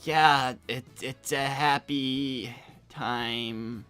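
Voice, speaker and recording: A man's voice: mid-range voice, fairly even intonation, drawn-out words, a bit bright, soft background music. A man playing a naive, earnest teenage boy, stammering and hesitant, in an improvised in-character livestream. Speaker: co-host answering viewer messages.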